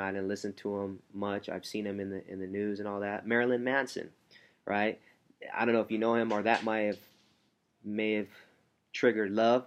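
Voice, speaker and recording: Man, motivational coach, hushed, rambling, lowish voice, talking at home. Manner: soft, hushed delivery to keep a sleeping baby quiet, rambling with restarts. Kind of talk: casual motivational talk to camera.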